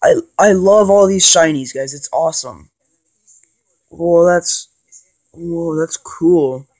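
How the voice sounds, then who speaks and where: A man with a cold and a congested voice, rambling casually with lots of ums and excited bursts as he plays and narrates a handheld game. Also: a little high, slow. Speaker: gaming channel host. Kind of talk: casual let's play commentary.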